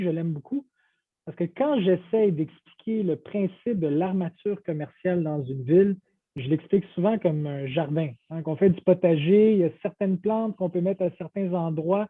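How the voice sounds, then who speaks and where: A man's voice: high voice, no echo. A man of Portuguese origin speaking as a panelist on a video call, enthusiastic and full of fillers and restarts. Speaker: panelist, downtown business association director. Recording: tinny phone-like video call audio.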